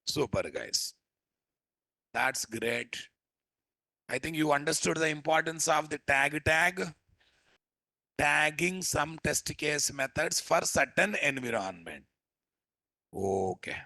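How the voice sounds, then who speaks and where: Rough-voiced male instructor. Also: fairly high pitch, slow pace, long pauses, sharp tone, no room sound. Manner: scolding, teasing, keeps asking class questions. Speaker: programming instructor. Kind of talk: live coding class lecture.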